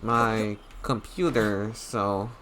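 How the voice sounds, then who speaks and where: A man's voice: fairly low pitch, fairly even intonation, drawn-out words, fairly bright tone, a little hiss or hum. A man giving casual gameplay commentary, hesitant and thinking aloud. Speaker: game streamer.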